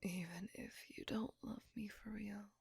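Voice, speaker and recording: A lovesick female vampire, acted by a woman, whispers in an intimate ASMR roleplay. Her voice is extremely breathy with a crackle of fry, and recorded very dry. She sounds possessive, melancholy and seductive, with dramatic, drawn-out phrasing. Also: mid-range voice, steady tone, no echo, exaggerated voice. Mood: obsessive, seductive.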